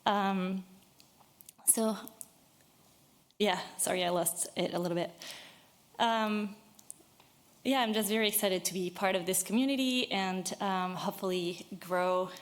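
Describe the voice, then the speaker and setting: A woman's voice: mid-range voice, a little slow, long pauses. A woman in her thirties from France, originally from Brittany, speaking earnestly and a little nervously at a public meeting, with many fillers. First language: French. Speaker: business owner addressing a city board.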